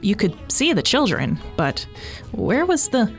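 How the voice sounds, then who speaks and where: A woman narrating fan fiction as an expressive scripted audio story. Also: medium pitch, measured pace. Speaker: podfic narrator. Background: faint music.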